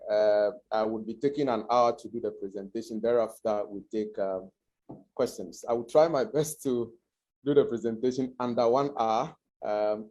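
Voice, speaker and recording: A middle-aged man, slightly hoarse from a cold, presenting an online information session with many hesitant pauses. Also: mid-range voice, warm tone, rough recording. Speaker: guest presenter.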